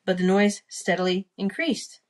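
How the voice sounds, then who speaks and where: A woman reading a classic short story aloud, haltingly and carefully, sounding out the hard words as she goes. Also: mid-range voice, darkish tone. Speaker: reader of a storytime podcast.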